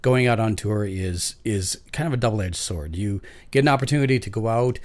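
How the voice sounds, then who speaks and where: A man's voice: fairly low pitch, brisk, darkish tone. A man interviewing a guest as a podcast host, in a conversational style.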